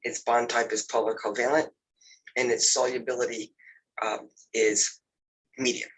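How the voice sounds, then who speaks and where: Male instructor with a cold, nasal and husky, loose and filler-heavy delivery, computer mic. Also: mid-range voice, unhurried, rough recording. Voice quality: nasal, congested. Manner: relaxed, filler-heavy, says "you know" often. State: has a cold. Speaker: lab instructor. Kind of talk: casual recorded lab walkthrough over a video call.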